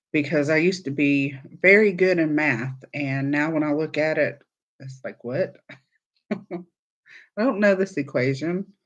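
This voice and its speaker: A man's voice: fairly high pitch, takes it slowly, pauses often. A man from the South, casually chatting with viewers and reading their comments aloud while hosting a crochet live stream. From born in Texas, raised in Alabama. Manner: chatty and easygoing, laughs easily. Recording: slightly muffled, dull stream audio.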